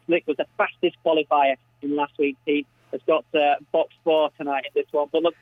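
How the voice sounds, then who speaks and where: A man's voice: on the high side, level tone, fairly quick, no room sound. Australian male tipster on a thin phone line. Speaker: greyhound racing tipster. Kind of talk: conversational racing preview commentary.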